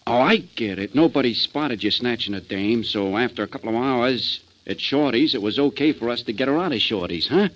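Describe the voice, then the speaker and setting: An actor in a 1940s radio drama playing a slow-witted, obedient henchman, puzzled and slangy. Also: mid-range voice, lively intonation, a bit bright, lightly acted. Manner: slangy gangster talk, hesitant. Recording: dull old 1940s radio recording.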